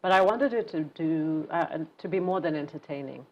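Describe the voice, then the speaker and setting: Low-voiced woman author from Zambia. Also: normal speed, dull audio. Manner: laughs easily, fluent, warm storytelling with lists. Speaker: author guest. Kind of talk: relaxed conversational interview.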